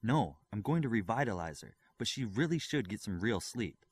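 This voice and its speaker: A man's voice: lowish voice, big pitch swings, normal speed, slightly rough. A man voicing a caring, inquisitive young female superhero in an audiobook story. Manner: inquisitive, concerned.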